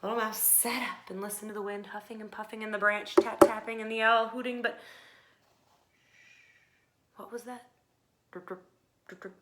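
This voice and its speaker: A woman narrating a children's picture book expressively, with dramatic pauses and hushed phrases. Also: mid-range voice, fairly even intonation. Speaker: storybook reader. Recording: faint background noise.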